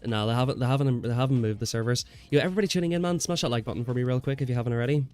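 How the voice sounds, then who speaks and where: A man's voice: medium pitch, rapid-fire, darkish tone, clean tone, soft background music. A young man livestreaming a game, chatting in a laid-back way with viewers, stuffy from a cold. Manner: chatty, laid-back, answers chat, says "man" and "bro" often. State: congested from a cold. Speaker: gaming livestreamer. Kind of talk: casual live stream chat while playing.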